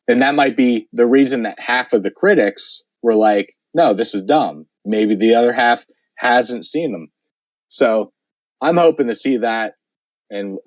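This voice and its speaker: A man co-hosting a podcast in casual chat, rambling with many fillers, his voice worn and hoarse. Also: medium pitch, darkish tone, dry recording, low-quality recording. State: losing his voice. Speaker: podcast host.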